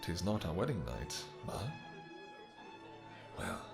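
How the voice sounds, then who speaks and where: A man's voice: sits low, sing-song, slow, vocal fry, over music, exaggerated voice. A man playing a possessive, courtly vampire king in a romantic fantasy audio roleplay, speaking silky endearments with mock-polite menace. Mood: doting, flirtatious.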